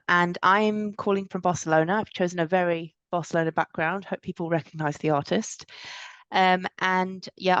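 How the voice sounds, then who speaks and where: A woman in her thirties or forties with a slightly low voice talks casually on a webinar. The sound is dry and a little muffled, like a video call. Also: no room sound. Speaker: sales director on a panel. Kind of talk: casual conversational webinar talk. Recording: dull, dry call audio.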